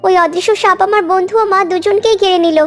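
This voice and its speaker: A brave little girl from a cartoon, voiced by a woman, earnest and pleading, with a very high, childlike pitch. Faint background music. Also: steady tone, quick pace. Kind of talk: cartoon voice acting.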